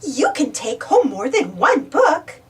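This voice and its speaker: A woman's voice: sits high, wildly swooping pitch, slow, far from the mic, pitched above the performer's own voice, full character voice. Woman doing a welcoming storybook librarian. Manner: warm, instructive.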